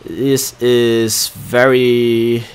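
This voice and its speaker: A man's voice: mid-range voice, flat delivery, extremely slow, clean tone. Young Singaporean male game streamer, Singaporean accent; casual, chatty commentary with muttered asides. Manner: chatty, muttering asides, reading chat aloud.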